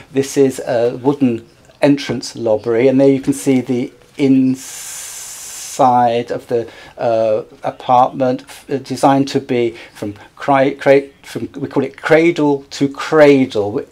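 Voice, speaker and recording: A man interpreting a conference talk live, speaking haltingly and often correcting himself as he searches for words. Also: medium pitch, warm tone. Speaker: simultaneous interpreter.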